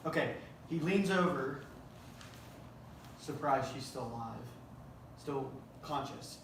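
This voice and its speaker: Male director at table read, deliberate dramatic script delivery, distant and very reverberant room sound. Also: mid-range voice. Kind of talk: reading screenplay action lines and coaching actors. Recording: echoey room, distant mic, faint noise.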